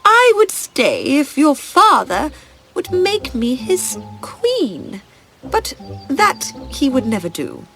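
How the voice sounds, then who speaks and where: A woman puts on a calculating storybook countess with an affected, high voice. Her delivery is theatrical and animated, with wide pitch movement and light music underneath. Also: slow pace, exaggerated voice. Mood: coy, wistful. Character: scheming noblewoman, falsely tender, turned cruel stepmother queen. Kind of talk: acted fairy-tale storybook dialogue.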